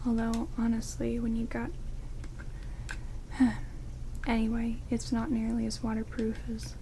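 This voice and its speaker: Whispering, sardonic vampire woman, played by a woman in rain hiss. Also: on the high side. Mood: dry, fussing. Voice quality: soft, breathy whisper. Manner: slow, teasing, drily condescending. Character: sardonic, haughty but friendly female vampire tending wounds. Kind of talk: whispered ASMR roleplay. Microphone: close binaural microphones. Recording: steady rain hiss, binaural microphones.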